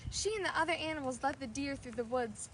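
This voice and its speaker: A woman's voice: sits high, fast talker, a bit bright, a little hiss or hum, rough recording. A woman storyteller reading a children's fairy tale aloud.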